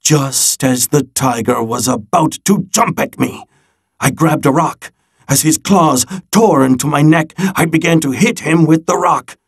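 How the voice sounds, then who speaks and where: A man voicing a storybook grandfather who recounts his seafaring adventure in a dramatic, measured way with suspenseful pauses. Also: on the high side, husky, exaggerated voice.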